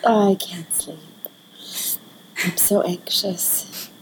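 A woman playing a caring, romantic teenage elder sister in an audio drama, soft, concerned and expressive. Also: fairly low pitch, drawn-out words, whispered, poor audio. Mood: anxious, hushed.

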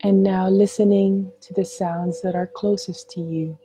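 Slow, very mellow woman guiding meditation. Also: medium pitch, flat delivery, slightly rough, faint music behind. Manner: gentle, soothing, unhurried with long pauses. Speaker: meditation guide. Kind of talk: guided meditation narration.

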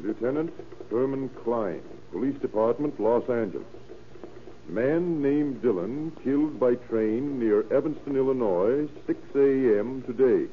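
An actor playing an official reading out a police telegram in a clipped, formal 1930s radio style. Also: male voice, medium pitch, animated, a little slow, close to an everyday voice. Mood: official, urgent. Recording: narrow-band scratchy old radio recording.

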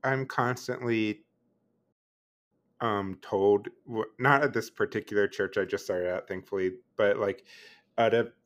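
A podcast co-host from Pennsylvania chatting casually, direct and relaxed, with many fillers. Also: mid-range voice, unhurried.

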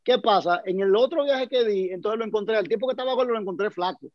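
A man's voice: high voice, quick pace, no echo, dull audio. A man with a Dominican Spanish accent, telling joking anecdotes in a casual chat.

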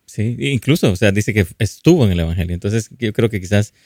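A man co-hosting a church broadcast, calmly reading out viewer questions and adding brief thoughts. He sounds distant from the mic. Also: on the low side, a little fast, no room sound, studio quality.

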